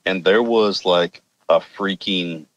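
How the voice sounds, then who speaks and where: Man, American accent, very low voice, casual storytelling, informal, rambling, wry podcast guest. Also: slow, fairly bright tone, poor audio. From Arkansas, US. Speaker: podcast guest telling personal experiences.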